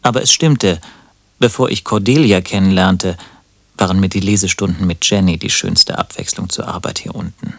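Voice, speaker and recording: A man playing a lovesick, wry radio-play protagonist who speaks in a deadpan, literary way. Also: deep voice, moderate pace, vocal fry, dry recording. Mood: dry narrating. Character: lovesick, melancholy, wry man narrating his own absurd story. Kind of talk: German radio play acting and narration.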